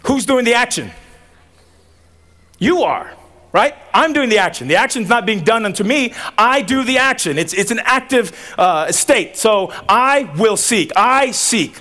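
A man's voice: extremely high pitch, lively intonation, unhurried, pushed and bright. Man, thirties, born in Tonga, tenor voice, joking commencement sermon, audience call-and-response, outdoor tent stage. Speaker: commencement speaker.

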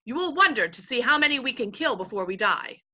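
A gallant little creature warrior from a storybook, as a woman reader acts him out. He declaims formally and haughtily, fearless and proud, with bright, animated delivery. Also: a little high, brisk, lo-fi sound, voice pushed up, full character voice. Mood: proud, defiant.

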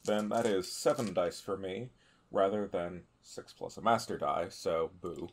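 A man chatting casually and haltingly as a player in a tabletop game. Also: low voice, slight background noise.

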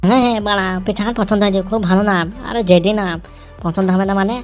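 High, rapid cheeky cartoon kid voiced by a man. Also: faint music behind, natural voice. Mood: pleased. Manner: cheeky, swearing. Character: cheeky foul-mouthed newborn boy talking like an adult. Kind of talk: amateur comic cartoon dubbing.

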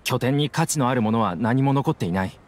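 A man playing an earnest, gruff-tempered mercenary in an anime game, blunt in manner and quick to take offense. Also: mid-range voice, lively intonation, a little hiss or hum, lightly acted. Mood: grave. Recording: faint music behind the voice.

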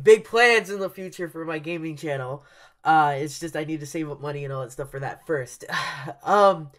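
Excitable young man with headset, rambling. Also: fairly high pitch. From Cuban heritage. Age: young adult. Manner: casual rambling with many fillers and restarts, loud excited outbursts. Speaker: YouTube reaction host. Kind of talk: excited live reaction chat. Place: dark bedroom at night. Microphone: headset, webcam at desk.

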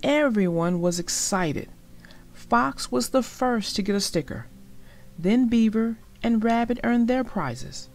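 Animated woman reading stories to children. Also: a little high, takes it slowly. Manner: addresses young listeners directly, explaining the lesson. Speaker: children's storybook narrator. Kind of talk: expressive read-aloud storytelling for children.

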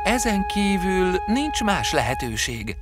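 An actor voicing a self-assured young boy detective in an animated story, explanatory and commanding. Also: high voice, loud music behind the voice. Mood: assertive.

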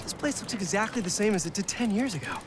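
A man's voice: high-pitched, lively intonation, quick pace. An actor playing an earnest, caring young man catching up with an old friend in a video game scene. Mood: nostalgic. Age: young adult. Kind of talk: video game cutscene acting. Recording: game audio with clear background noise.

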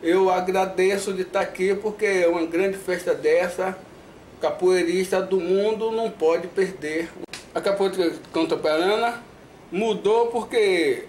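An older man with a Bahian accent speaks in a soft, measured way, his voice a little high for a man. The room is echoey, with a faint hum of noise underneath. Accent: Bahian Portuguese. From Salvador, Brazil. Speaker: interviewee. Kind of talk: interview testimony. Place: indoors in front of a flag backdrop.

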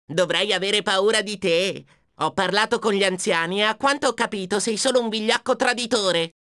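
A woman's voice: medium pitch, moderate pace, a bit bright, no echo, lightly acted. A woman voicing a plucky, cheeky young male dragon hero in a video game, self-assured and wisecracking, close on a dry studio mic. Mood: cocky. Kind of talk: video game character acting. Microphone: close studio microphone, dry.

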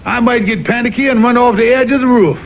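A man's voice: extremely high pitch, fast talker. A man playing a blustering, wheedling fox con artist with a Southern US accent in an old TV cartoon. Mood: persuasive. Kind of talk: 1960s TV cartoon voice acting. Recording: dull vintage TV audio with music.

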